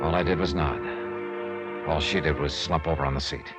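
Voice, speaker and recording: An actor playing a world-weary, dogged American insurance investigator in a 1950s detective radio drama, narrating in clipped, terse phrases. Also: male voice, rumbling low, fairly even intonation, normal speed. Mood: grim, terse. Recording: muffled vintage radio recording, loud music underneath.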